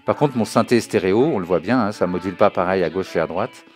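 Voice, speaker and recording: A man giving an informal spoken software tutorial in a didactic way, often checking that the listener follows. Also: low voice, fast talker. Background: synthesizer and music demo sounds.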